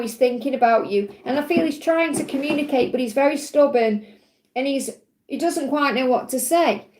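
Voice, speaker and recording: A woman's voice: sits high, darkish tone, a little hiss or hum, low-quality recording. A woman with a Stoke-on-Trent accent doing a live tarot reading, answering viewer questions in a soothing, reassuring way and checking in often with "okay?". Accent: Stoke-on-Trent English. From England (Stoke-on-Trent). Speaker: tarot reader on a livestream.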